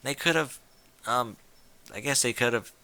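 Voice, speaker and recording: A blunt tomboy pony from a storybook, performed by a man, guarded and a little awkward. The voice is bright and slightly raised, with hesitant, casual phrasing and a close, dry sound. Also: mid-range voice, normal speed, smooth, dry recording. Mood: reluctant, awkward.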